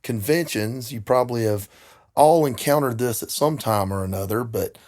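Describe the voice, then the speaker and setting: Hoarse middle-aged man, jokey podcast host. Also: medium pitch, moderate pace, a little mellow. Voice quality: hoarse, gravelly. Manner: jokey, self-deprecating, mock-dramatic. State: hoarse, getting over a cold. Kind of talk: casual comedic podcast chat.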